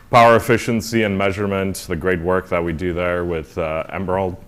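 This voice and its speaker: Low-voiced man welcoming a conference crowd. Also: clean tone. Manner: upbeat, emphatic opener, pushing his voice to carry. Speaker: executive director opening a conference. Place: conference hall stage. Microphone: handheld or podium mic.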